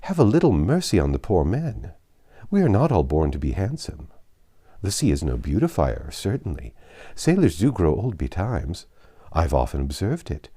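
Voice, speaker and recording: An agreeable gentlewoman from a classic storybook, read by a man. She pleads gently in a coaxing, placating way. The voice is mellow, with varied intonation. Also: low voice, wildly swooping pitch, moderate pace, slightly rough, exaggerated voice. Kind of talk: audiobook character reading.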